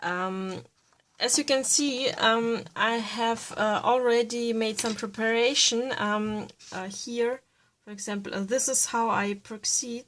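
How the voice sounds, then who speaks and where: Slow, hesitant woman with an accent. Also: a little high, steady tone. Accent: Russian and Bavarian German. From Russia. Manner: hesitant, many fillers, giggly; drops to a soft near-whisper while coloring. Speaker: art tutorial creator. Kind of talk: casual drawing tutorial.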